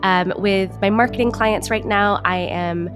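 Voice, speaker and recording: A woman's voice: mid-range voice, steady tone, unhurried, music in the background. A woman speaking casually as a guest in an interview, talking about her creative work. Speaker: podcast guest, actor and writer.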